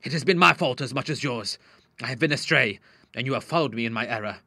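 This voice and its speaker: A man's voice: medium pitch, quick pace, sharp tone, no room sound, studio quality. A man voicing a stern older father, a doctor, who speaks coldly and indignantly. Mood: relenting. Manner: cold and indignant, rising to anger.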